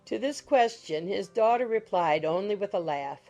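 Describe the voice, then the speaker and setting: Mellow woman narrating an audiobook. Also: medium pitch, expressive, moderate pace, soft background music.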